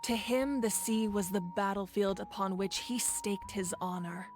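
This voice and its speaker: An actress playing a composed, proud warrior woman in a video game, recounting tales in a measured, thoughtful way. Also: medium pitch, over music, close to an everyday voice. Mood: reflective. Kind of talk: video game story dialogue.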